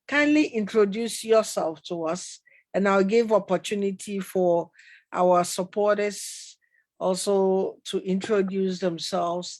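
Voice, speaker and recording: A woman's voice: mid-range voice, darkish tone. A woman hosting an online talk show, chatty and welcoming, with many fillers and restarts. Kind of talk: casual interview hosting.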